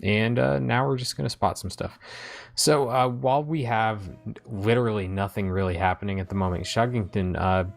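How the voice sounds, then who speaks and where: A man with a deep, gravelly voice hosts a gaming commentary. He talks casually, as to co-commentators, over what is being played. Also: moderate pace, faint music behind. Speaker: host of a game let's play.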